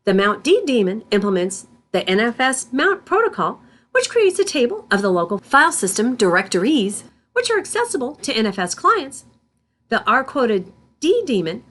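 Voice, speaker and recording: A woman instructor reading scripted tutorial narration in a deliberate, step-by-step manner. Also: fairly high pitch, sing-song, a bit bright. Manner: deliberate, spells out terms symbol by symbol.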